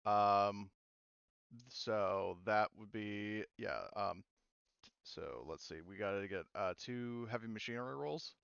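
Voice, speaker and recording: A man's voice: lowish voice, slow, creaky, fairly bright tone, smooth, dry recording, muffled recording. A young man acting as game master, checking rules casually with many fillers. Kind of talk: running a tabletop game with players.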